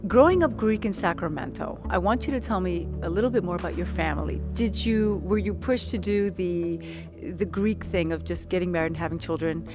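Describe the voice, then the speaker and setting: A woman's voice: fairly low pitch, fairly quick, over music. A woman hosting a formal TV interview, asking questions in a deferential, courteous way. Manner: formal, deferential, with a few restarts. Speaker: TV interviewer, host.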